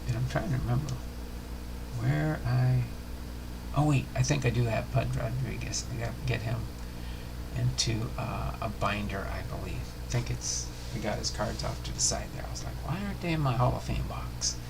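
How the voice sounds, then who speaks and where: A man's voice: medium pitch, normal speed, creaky, noisy background. A man hosting a casual livestream about sports cards, rambling and thinking aloud as he goes. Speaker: livestream host of a baseball card channel.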